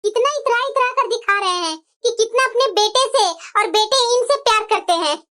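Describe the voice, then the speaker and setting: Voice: very high-pitched, brisk, pushed and bright. A performer voicing a defiant, aggrieved young daughter-in-law in a family cartoon, with pointed, indignant emphasis. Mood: bitter, indignant. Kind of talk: Hindi family moral-story cartoon voice acting.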